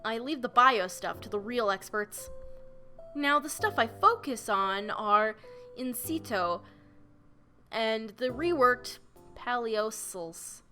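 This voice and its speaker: A woman's voice: on the high side, lively intonation, sharp tone, faint music behind, exaggerated voice. Woman voicing a bookish young male geologist. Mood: explaining. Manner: lectures patiently in a measured, tidy way. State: nasal from a cold.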